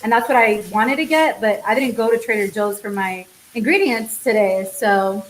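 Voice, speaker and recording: A woman's voice: mid-range voice, moderate pace. A young woman hosting a cooking show, playful and chatty as she cooks with a guest. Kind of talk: casual cooking chat. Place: home kitchen. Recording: echoey kitchen with faint noise.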